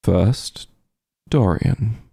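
Vampire mafia heir for ASMR roleplay, male actor: low, very dark husky voice, close and dry, teasing, possessive. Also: no echo, exaggerated voice. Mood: protective, matter-of-fact. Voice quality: husky, breathy, gravelly. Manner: slow, smooth-tempered, teasing drawl with soft murmurs. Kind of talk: intimate ASMR roleplay acting.

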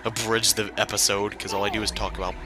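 A man's voice: lowish voice, level tone, fast talker. A young man giving casual, rambling commentary while playing a video game, chatty and self-deprecating with plenty of fillers. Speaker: let's play commentator. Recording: game music behind voice.

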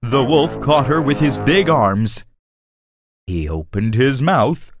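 Slow, very animated male storyteller. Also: medium pitch, over music. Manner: expressive, dramatic storytelling. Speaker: narrator. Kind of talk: scripted children's story narration.

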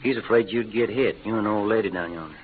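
A man's voice: on the low side, fast talker, close to an everyday voice. An actor playing an easygoing, modest cowboy gunman with an American Western accent and wry, slangy drawl in an old radio western. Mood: tender, firm. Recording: tinny, noisy old radio recording.

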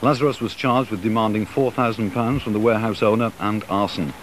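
Middle-aged male anchor, low voice, formal bulletin, faint tape hiss. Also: fairly even intonation, brisk. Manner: formal, crisp bulletin delivery. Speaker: news anchor. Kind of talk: studio news reading. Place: TV studio. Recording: old broadcast with faint hiss.

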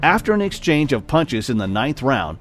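Lively male documentary narrator. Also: medium pitch, over loud music. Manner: measured, storytelling.

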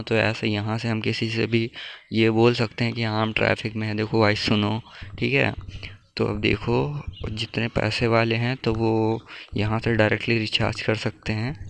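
A man's voice: fairly low pitch, gravelly, a bit bright, a little hiss or hum. A young man giving a casual, informal app tutorial, talking to viewers like buddies. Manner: informal, addresses viewers as bro. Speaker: tech tutorial YouTuber.

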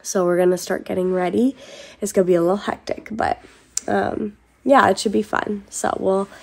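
A woman's voice: medium pitch, steady tone, normal speed, creaky, darkish tone. A woman in her thirties, a little stuffed up, chatting casually to her phone camera in a home basement, rambling with frequent ums. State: stuffed up, congested. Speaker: vlogger. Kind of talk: casual vlog chat to camera. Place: home basement playroom, indoors. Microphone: phone held close to the face.

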